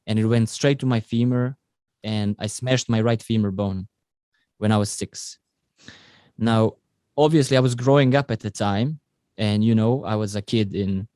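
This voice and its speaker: A man's voice: mid-range voice, dry recording. Guy in his 20s, podcast guest, dry close mic, storytelling with lots of uhs. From Croatia. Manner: non-native phrasing, fillers, lively storytelling. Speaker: podcast guest, rugby player. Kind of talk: casual interview storytelling.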